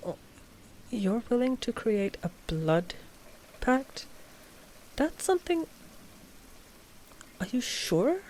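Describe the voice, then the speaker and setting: A woman as a slow, wary vampire coven ruler. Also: fairly high pitch, big pitch swings, warm tone, a little hiss or hum. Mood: wary, firm. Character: reigning female vampire coven master, cautious, frazzled, principled. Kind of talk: scripted ASMR roleplay drama.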